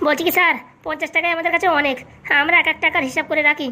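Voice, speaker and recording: A woman's voice: high-pitched, level tone, very fast, sharp tone. A woman voicing a cheeky, poor young man in a comic cartoon, glib, colloquial and stubbornly argumentative. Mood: stubborn, indignant.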